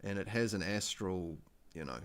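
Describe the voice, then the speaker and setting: A man with a New Zealand accent hosting a casual livestream, talking in a halting, rambling way with many fillers and restarts into a desk microphone. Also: low voice. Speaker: livestream host.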